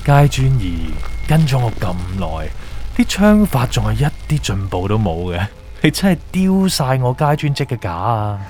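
An actor playing a gloating, manipulative villain in an audio drama, with a Hong Kong Cantonese accent and taunting, drawn-out delivery. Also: male voice, mid-range voice, sing-song, noisy background, natural voice. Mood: mocking. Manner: theatrical, taunting, drawn-out emphasis, drops in English words. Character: gloating, manipulative villainous male official, mocking.

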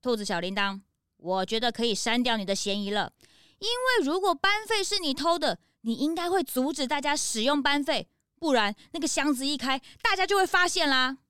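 A woman's voice: high voice, a little fast, no room sound, clean studio sound, full character voice. A woman playing an eager, inquisitive young animal detective's sidekick in a children's radio drama. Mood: earnest, deducing. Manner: inquisitive, excitable, earnest.

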